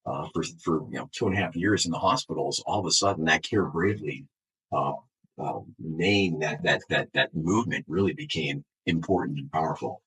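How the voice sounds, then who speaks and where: A very low-voiced man with a markedly husky, rough tone talks as an executive being interviewed. He answers unscripted, often doubling back on words and restarting his phrases as he explains. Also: a little fast, fairly bright tone, rough recording. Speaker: health system chief executive, interview guest.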